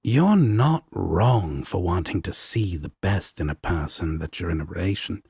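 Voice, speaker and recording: A deep-voiced devil roommate acted by a man in a comfort roleplay, sardonic but protective and fond. His husky drawl is slow and swings widely in pitch as he talks bluntly and coaxingly. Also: lively intonation, a little mellow, no room sound, studio quality, exaggerated voice. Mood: gentle, firm. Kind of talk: romantic comfort audio roleplay.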